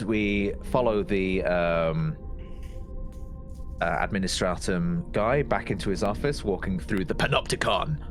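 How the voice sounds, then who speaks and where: A man casually recapping events as a player in a tabletop roleplaying game, hesitating, repeating words and trailing off. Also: low voice.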